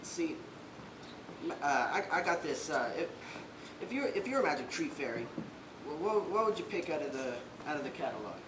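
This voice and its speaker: A woman's voice: low voice, very animated, normal speed. A performer playing a shy, kindly, bumbling man in a stage play, stammering nervously with folksy phrasing. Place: stage in a roomy hall. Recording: distant, echoey, noisy stage recording.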